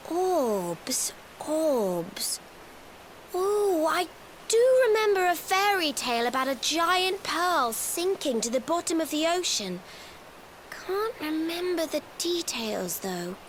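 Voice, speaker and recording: Game role: feisty young girl mage, piercingly high, drawn-out dramatic delivery, music underneath. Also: very animated, a little hiss or hum, heavily acted. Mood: pensive. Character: bossy, hot-tempered young girl mage. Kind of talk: video game voice acting. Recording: music behind the voice.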